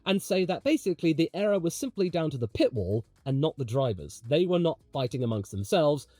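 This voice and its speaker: A man's voice: a little high, sing-song, brisk, darkish tone, dry recording. A man with a British accent giving opinionated, slangy motorsport commentary straight to his audience, with emphatic storytelling.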